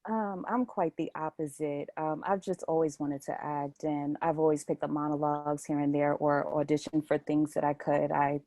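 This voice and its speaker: Low, creaky-voiced woman, softly mellow. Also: level tone, moderate pace, hardly any pauses, dry recording. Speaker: acting student. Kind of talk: casual chat about herself.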